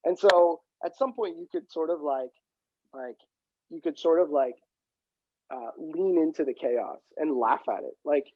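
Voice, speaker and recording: A fairly high-voiced man, Punjabi by first language, chatting as a podcast guest. He is wry and jokey and swears offhandedly. His audio is dull and very dry. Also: unhurried, no echo. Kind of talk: casual chat.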